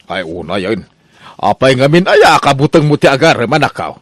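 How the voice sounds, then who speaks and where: A man's voice: mid-range voice, very animated, normal speed, no echo, studio quality. A man with an Ilocano accent playing an exasperated, scolding old father of grown sons in a comedy radio drama. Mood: concerned.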